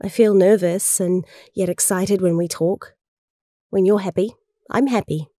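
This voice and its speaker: A woman's voice: medium pitch, steady tone, a little slow, warm tone, dry recording, studio quality, lightly acted. A woman playing a lovelorn, outspoken young heroine in an amateur audio drama, speaking in an earnest, pleading way. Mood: nervous, tender. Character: Kat, lovelorn, outspoken young female hero.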